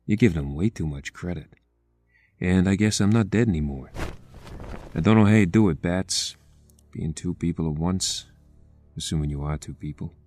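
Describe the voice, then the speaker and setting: A man's voice: low-pitched, normal speed, pauses often, a little mellow, no echo, soft background music, close to an everyday voice. An actor playing a wry, hard-nosed district attorney, blunt and sardonic in his delivery. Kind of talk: dramatic audio acting.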